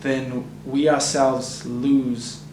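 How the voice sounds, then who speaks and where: A man speaking in an earnest, deliberate way, giving thoughtful comments in an interview. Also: medium pitch, flat delivery, a little hiss or hum. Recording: echoey and distant, with loud guitar music.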